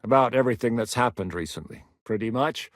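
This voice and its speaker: An actor playing a battle-hardened medieval rebel commander in a video game, gruff and dry-humoured, with a blunt, commanding, sardonic manner. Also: male voice, mid-range voice, expressive, brisk, dry recording, lightly acted. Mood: calm, dry. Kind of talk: dramatic video game character acting.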